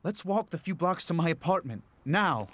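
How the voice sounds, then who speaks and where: A man's voice: on the high side, animated, moderate pace, a bit bright, a little hiss or hum. A man playing an anxious, obsessed client in an audio ghost story, speaking in a hesitant, stammering, earnest way. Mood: eager.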